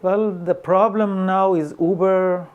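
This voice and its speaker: A middle-aged man from Iran, a taxi driver, talking haltingly with pauses and fillers in a documentary interview. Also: sits high, steady tone, clear, resonant tone, lo-fi sound, soft background music.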